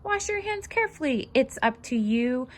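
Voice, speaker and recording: A woman reading a children's picture book aloud as host, expressive and sing-song, stressing the rhymes and adding casual asides. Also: high voice, normal speed.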